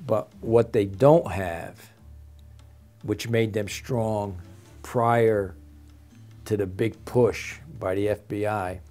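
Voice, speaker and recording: An older man, a former undercover agent, talking plainly and low-key as an interview guest, often repeating words as he starts. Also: fairly even intonation, slow pace, pauses often, dark tone, faint music behind. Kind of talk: casual interview talk.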